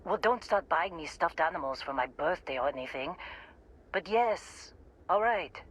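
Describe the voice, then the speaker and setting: Woman acting a tough loyal outpost boss lady, low pitch, dry n blunt, game dialogue, slight bg hum. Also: lively intonation, normal speed, close to an everyday voice, low-quality recording. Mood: gruff, sincere. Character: gruff, dependable female outpost supervisor, dry and loyal. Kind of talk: naturalistic video game voice acting.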